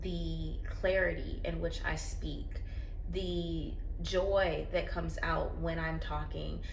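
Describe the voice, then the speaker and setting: A woman's voice: low-pitched, little pitch movement, takes it slowly, gravelly. Earnest woman vlogging in an echoey room. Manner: earnest and reflective. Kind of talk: candid personal vlog talk. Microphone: phone. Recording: roomy echo, faint background noise.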